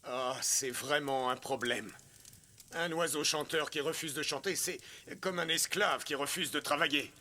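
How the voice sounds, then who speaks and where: A man voicing a regal, measured pharaoh and father in a French cartoon dub. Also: on the high side, bright, forceful tone, slight background noise. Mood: solemn.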